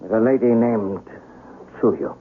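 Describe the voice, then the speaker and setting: An actor playing a lovesick, proud young samurai with earnest, formal, dramatic delivery in a 1970s radio drama. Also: male voice, mid-range voice, slow pace, natural voice. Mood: determined. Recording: muffled, hissy old radio broadcast.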